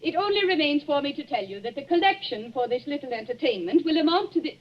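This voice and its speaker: A woman's voice: high voice, animated, fast. An actress playing a titled society lady making a formal, declamatory speech at a village concert in an old radio drama. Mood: gracious. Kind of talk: 1940s radio acting of public speech. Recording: noisy muffled old radio recording.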